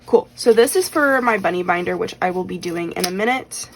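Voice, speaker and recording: A woman's voice: lowish voice, expressive, brisk, creaky, slight background noise, rough recording. Chatty woman losing her voice a bit. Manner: chatty, rambling with many fillers; counts bills aloud. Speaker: budgeting vlogger. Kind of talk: casual chat while cash stuffing.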